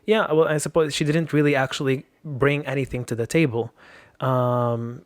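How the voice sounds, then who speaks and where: A man's voice: medium pitch, fairly even intonation, normal speed, no room sound. A man from Lebanon, a podcast guest, speaking in a hesitant way with many fillers. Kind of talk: casual interview talk.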